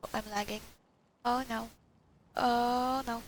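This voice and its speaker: A woman's voice: on the high side, little pitch movement, takes it slowly, slight background noise. A woman streaming a game with casual, muttered reactions and bits of text read aloud. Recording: loud game music behind the voice.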